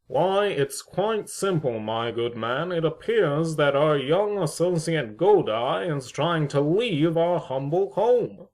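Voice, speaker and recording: A man's voice: a little high, expressive, slow, few pauses, a little mellow, poor audio. A man playing a pompous, needling, mock-polite neighbour with formal, theatrical phrasing in an amateur audio drama. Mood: smug, mock-polite.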